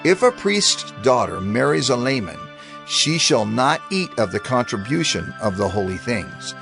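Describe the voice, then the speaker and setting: A man voicing a grave proclaimer of divine law, measured and authoritative. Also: mid-range voice, very animated, gravelly, music in the background. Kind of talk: dramatized scripture reading.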